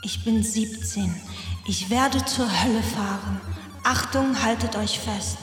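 A woman's voice: a little high, takes it slowly. A woman playing a brazen seventeen-year-old girl eager to sin in a German radio play. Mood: brazen, breathless. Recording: echoey hall, faint music.

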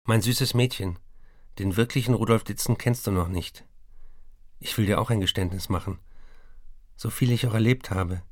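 An actor playing a lovesick, self-abasing young writer, reading intimate confessional letters in a radio play. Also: male voice, fairly low pitch, a little mellow, no room sound, studio quality, natural voice. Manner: confessional, intense, repetitive endearments. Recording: music behind the voice. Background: music.

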